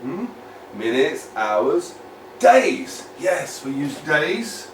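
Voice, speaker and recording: A man's voice: a little high, very animated, very slow, noisy background. British man teaching in an echoey room. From United Kingdom. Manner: upbeat, repeats words for emphasis, asks the viewer questions. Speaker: English teacher. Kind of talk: online English lesson for children. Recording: echoey room, mic at a distance.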